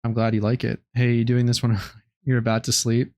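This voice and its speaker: A young man streaming a game live, chatting casually in a low-key, relaxed way with many ums and restarts. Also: a little fast, dry recording, studio quality. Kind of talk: casual live-stream chat while gaming.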